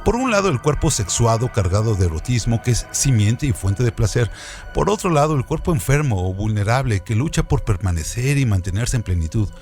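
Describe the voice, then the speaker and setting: Animated male narrator, formal and didactic. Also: medium pitch. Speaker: radio presenter. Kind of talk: scripted literary essay narration with question hooks. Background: background music.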